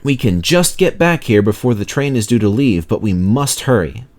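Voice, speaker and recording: A man voicing an excited, urgent railway fireman in a children's storybook. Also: medium pitch, moderate pace, close to an everyday voice.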